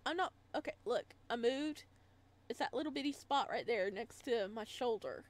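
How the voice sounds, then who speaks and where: Expressive woman reading and reacting aloud. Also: on the high side, moderate pace. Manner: expressive, chatty asides between read passages. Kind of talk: let's play narration with casual reactions.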